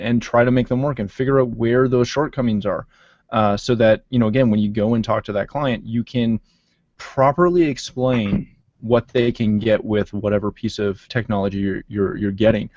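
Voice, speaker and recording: A man's voice: mid-range voice, fairly even intonation, normal speed, darkish tone, no echo. A man in his thirties hosting a casual video-call panel chat from his home office, with loose talk full of fillers and restarts. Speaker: podcast host. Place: home office with shelves and wall clock. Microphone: desk mic on video call. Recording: dry, muffled video-call audio.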